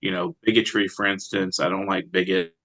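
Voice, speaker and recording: A man speaking as an interviewed poet, in a reflective, halting conversational way. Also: low-pitched, flat delivery, a bit bright, no room sound. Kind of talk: conversational interview with brief poem reading.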